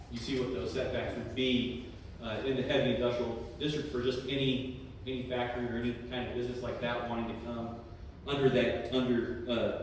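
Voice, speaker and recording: A Texan man presents to a public meeting as city planning director, easygoing and conversational, often pausing on "um". His voice sits at an ordinary middle pitch and comes across from a distant mic. A long reverb rolls through the big chamber, with a faint hiss underneath. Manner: conversational, plain-spoken with frequent ums. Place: large echoey council chamber. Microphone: room microphone heard from a distance. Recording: echoey hall from far off.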